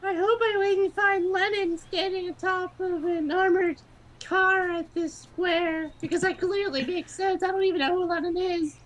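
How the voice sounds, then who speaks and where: A man voicing a bewildered, whimsical female inner voice in a questioning falsetto, reading from a visual novel. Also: steady tone, moderate pace, fairly bright tone, a little hiss or hum, low-quality recording. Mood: baffled. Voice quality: high, put-on falsetto.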